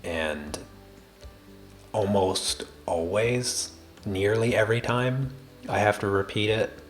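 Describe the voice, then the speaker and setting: A man with a very low, soft and quiet voice chats with viewers while drawing on a livestream. He talks slowly and deliberately, often repeating a word before going on. Faint music plays behind him. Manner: slow, deliberate cadence with many restarts. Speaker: art livestream host.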